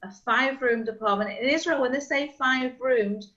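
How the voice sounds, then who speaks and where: A middle-aged woman working as a real estate agent, chatting casually and explaining things with quick examples over a call. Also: sits high, a bit bright. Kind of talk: casual video call chat. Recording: slightly dull lo-fi call audio.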